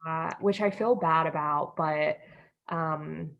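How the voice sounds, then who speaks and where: Slow, low-pitched young American woman. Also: gravelly, fairly bright tone, highs cut off. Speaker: podcast co-host. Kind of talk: casual chat.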